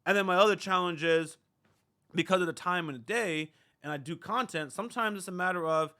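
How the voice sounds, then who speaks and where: Bright-voiced chatty man. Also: high voice, brisk. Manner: rambling, self-deprecating, conversational with many fillers. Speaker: reaction channel host. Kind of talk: casual talk to camera.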